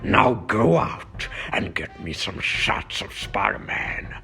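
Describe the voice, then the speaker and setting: Gravelly, blustering newspaper boss. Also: male voice, lowish voice, big pitch swings, slow, sharp tone, faint music behind, heavily acted. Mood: scornful, bossy. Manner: gruff, scornful, barking. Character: blustering, stingy middle-aged male newspaper boss. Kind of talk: comic book dub voice acting.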